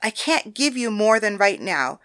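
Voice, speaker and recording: A woman's voice: mid-range voice, big pitch swings, a little slow, smooth. A woman playing a brusque, commanding brothel woman. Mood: exasperated. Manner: brusque and forceful, raising her voice in anger.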